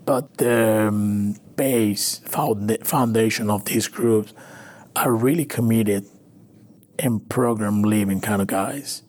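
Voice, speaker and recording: A man from Venezuela, a native Spanish speaker with a Latin American accent, telling his recovery story haltingly in an interview. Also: on the low side, a little mellow. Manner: halting, with frequent restarts and fillers. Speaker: interview guest. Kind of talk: personal recovery story, conversational.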